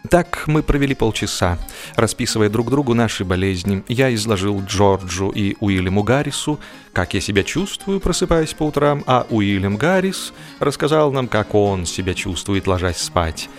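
Low-pitched man narrating humorous literature. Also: normal speed, faint music behind. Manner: expressive, theatrical phrasing with mock seriousness. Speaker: audiobook narrator.

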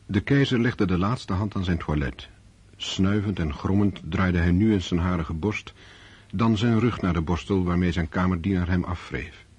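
A grave, reflective storyteller in a radio war drama. The voice is very deep and husky. The delivery is measured and sober. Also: male voice, a little mellow, no echo, highs cut off.

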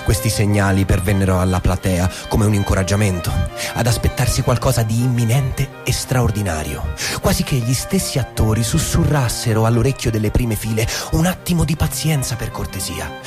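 An actor playing a dry, deliberate narrator and office husband in a literary stage drama, reciting in a theatrical way. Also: male voice, lowish voice, close to an everyday voice. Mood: wry, confiding. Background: music.

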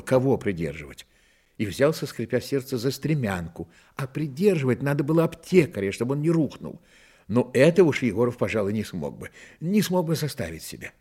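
A man narrating a radio drama in an expressive literary storytelling style, with a husky voice. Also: mid-range voice.

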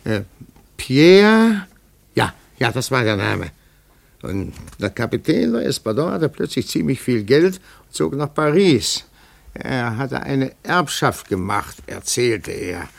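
A shrewd investigator in 1950s radio drama style. His gravelly voice swings widely in pitch as he muses deliberately, then turns insinuating with pointed questions. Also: big pitch swings, full character voice. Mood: musing, intrigued. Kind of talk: 1950s German radio play acting.

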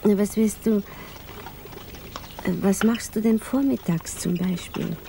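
A woman's voice: medium pitch, slow, vocal fry. An actress playing a tender, pleading woman in love in a 1950s radio drama. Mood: tender, needy. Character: yearning woman in love, tender, clinging, anxious. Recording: muffled 1950s radio recording, faint noise.

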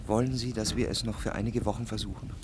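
Male actor as controlling gentleman lover, lowish pitch, formal, insistent, radio drama, slight background ambience. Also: little pitch movement, fast talker, background noise, lightly acted. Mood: persuading.

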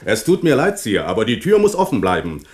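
An actor playing a dutiful palace guard in a radio play, apologetic but unbending, with a formal manner. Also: male voice, medium pitch, wildly swooping pitch, fairly quick, a little hiss or hum. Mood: apologetic, firm. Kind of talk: 1970s German radio play acting.

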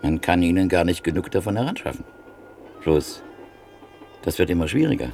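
An actor in a classic radio play playing a middle-aged antique print dealer who tells a story in a measured, reflective way. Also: male voice, deep voice, expressive, creaky, a little mellow, soft background music. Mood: thoughtful. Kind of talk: classic radio drama acting.

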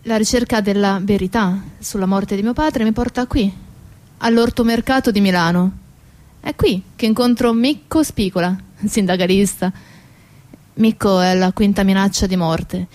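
A woman performing a theatrical first-person monologue in short, deliberate phrases, addressing the listener directly. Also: mid-range voice, a little mellow. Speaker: stage actress and narrator.

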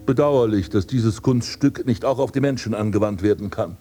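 An actor playing a vain, scornful prince and landscape gardener in a radio drama, speaking in pompous, ornate declamation. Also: male voice, on the low side, moderate pace, warm tone, soft background music, lightly acted. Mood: solemn, declaiming. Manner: pompous, declamatory, ornate old-fashioned diction. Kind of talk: literary radio drama acting, monologue and dialogue.

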